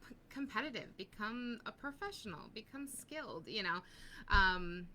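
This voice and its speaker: Woman, casting host, bright and chatty, loose conversational read. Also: mid-range voice, expressive, moderate pace, slight background noise, low-quality recording. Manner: chatty, laughs often, plays out little skits. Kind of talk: casual chat.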